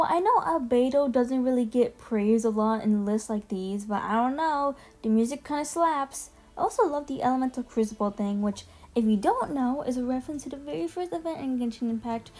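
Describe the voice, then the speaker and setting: A woman giving casual, chatty commentary as a video host, informal and quick to swear. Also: high-pitched, darkish tone. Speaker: video host ranking game character demos. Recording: music behind the voice. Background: background music.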